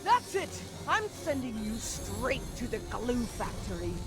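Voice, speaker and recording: A woman's voice: fairly high pitch, sing-song, music in the background. A woman playing a scheming, vengeful fairy godmother villain in a game, imperious and theatrical. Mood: furious. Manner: imperious, melodramatic, drawn-out.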